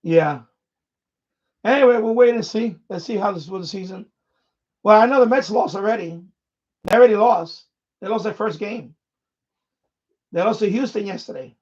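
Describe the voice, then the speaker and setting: Male streamer, high voice, unscripted and repetitive halting delivery, slightly muffled low-fidelity audio. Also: a little mellow. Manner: halting, repeats words and phrases often. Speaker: live stream host. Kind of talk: casual unscripted live-stream chat. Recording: dull, lo-fi microphone sound.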